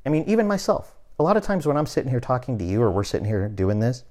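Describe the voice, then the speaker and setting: A man in his fifties hosting a podcast, talking in a relaxed, motivational way and often checking in with "right?". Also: mid-range voice, fast. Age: 50s. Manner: conversational, repeats "right?" often, restarts phrases. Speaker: podcast host. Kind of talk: casual motivational chat.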